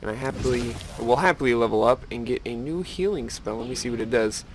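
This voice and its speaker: A man with an average-pitched voice and a noticeable fry talks through his gameplay. He thinks aloud in a casual, rambling way and teases himself a little. The recording has a slight layer of noise. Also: fast. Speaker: gamer narrating his own playthrough.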